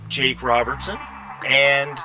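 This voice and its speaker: A man acting as game master, narrating a tabletop role-playing game in a halting way, searching for words as he describes scenes. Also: mid-range voice, level tone, background noise.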